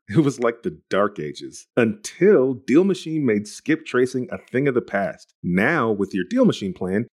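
A man reading a scripted ad with an upbeat sales pitch. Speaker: ad reader. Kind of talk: scripted ad read.

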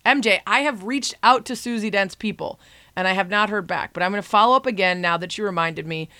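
A woman's voice: medium pitch, brisk, bright, forceful tone, no echo. A woman hosting a podcast, chatting upbeat as she reads a listener review and interviews a guest. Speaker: podcast host.

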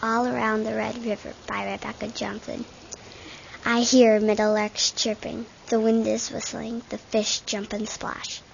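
A woman reads a poem vividly in a higher, very gravelly voice. The sound is somewhat dull. Faint noise sits behind her. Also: flat delivery, measured pace. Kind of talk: reading a poem aloud.

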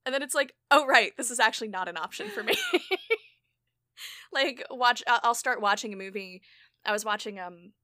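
Woman, high bright voice, very dry close sound; animated, self-deprecating podcast host in relaxed conversation, quick to laugh. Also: no echo. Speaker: podcast host and audio drama creator. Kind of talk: casual conversational interview.

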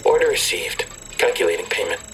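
A sinister internet ghost speaks in a low voice through a computer speaker. It recites stiff customer-service phrases in a formal, repetitive way, with music underneath, as in an audio drama. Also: animated, a little fast, fairly bright tone, rough recording. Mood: cold, transactional. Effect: voice heard through a computer speaker. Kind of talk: full-cast audio drama acting.

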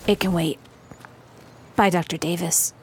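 A woman playing a fragile, pleading patient, earnest and hesitant, with a husky voice in an audio drama. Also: lowish voice, fairly even intonation, unhurried, a little hiss or hum, natural voice. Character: anxious, pleading, fragile female therapy patient. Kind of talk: audio drama acting, naturalistic dialogue.

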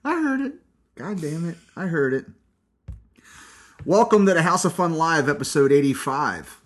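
A man hosting a podcast in casual banter, loud and boisterous, teasing his guest and swearing freely. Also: on the high side, expressive, moderate pace. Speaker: podcast host.

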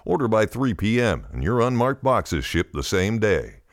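A man's voice: on the low side, sing-song, normal speed, no echo, clean studio sound. A man reading a scripted ad in an urgent, persuasive tone. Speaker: ad announcer. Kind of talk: scripted radio ad read.

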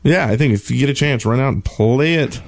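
A man co-hosts a gaming podcast in a mid-pitched voice. He talks informally and rambles a little, with a joking, easygoing turn of phrase. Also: fairly quick. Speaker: podcast co-host. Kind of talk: casual gaming chat.

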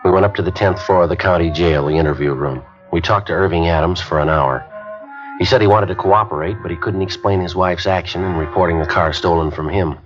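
A terse police detective, as an actor plays him in an old radio drama, reading off times and facts in a clipped, deadpan way. His voice sits very low. The broadcast sounds dull and lo-fi, with music under it. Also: a little fast, lightly acted. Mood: flat narration. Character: terse, matter-of-fact male police detective, adult. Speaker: detective and narrator. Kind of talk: 1950s radio drama acting.

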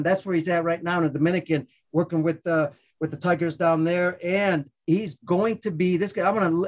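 A man hosts a podcast in a loose, upbeat way. He rambles and restarts his sentences. His voice sits high for a man. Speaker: podcast host. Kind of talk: casual chat.

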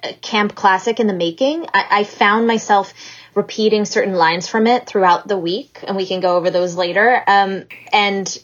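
A woman podcast guest talks with eager enthusiasm, full of fillers. Her pitch is mid-range. The sound is a little dull and lo-fi. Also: slightly rough. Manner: enthusiastic, chatty, many fillers. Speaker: guest, staff writer. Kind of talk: casual chat.

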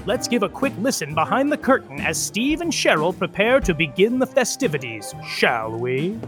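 An arch, swooping narrator. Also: female voice, on the low side, very animated, normal speed. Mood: arch, sardonic. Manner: arch, sardonic. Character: wry gossipy narrator and commentator on theater producers. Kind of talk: scripted narration. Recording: loud music behind the voice.

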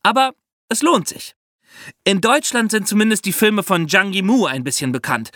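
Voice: mid-range voice, expressive, moderate pace, pushed and bright, no room sound, studio quality. A podcast guest explaining things to young listeners with vivid comparisons and questions. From China.

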